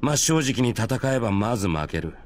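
An actor playing a gruff, confident spearman in a visual novel game, talking in rough, casual, matter-of-fact speech. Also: mid-range voice, big pitch swings, normal speed, close to an everyday voice. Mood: calm, blunt. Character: gruff, confident male spear-wielding warrior, blunt and unflinching.

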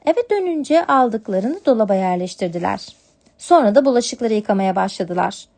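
A woman's voice: mid-range voice, animated, moderate pace, a little mellow. A woman narrating a scripted storybook tale for children as a storyteller.

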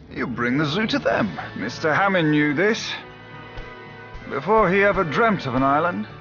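An actor playing a smug, polished businessman with formal sales phrasing in a video game. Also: sits high. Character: smug, polished corporate businessman in charge of an expedition. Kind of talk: video game cutscene acting. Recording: dull game audio, music behind.

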